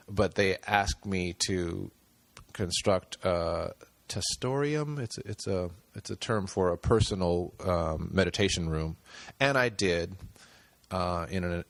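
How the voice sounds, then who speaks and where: Low-voiced man, hesitant podcast guest. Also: no room sound. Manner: hesitant, with many fillers and restarts. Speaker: podcast guest, screenwriter and director. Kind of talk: casual interview talk.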